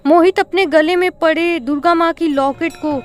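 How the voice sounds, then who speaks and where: A woman's voice: extremely high pitch, fairly even intonation, normal speed. A woman reading scripted narration for a cartoon horror story.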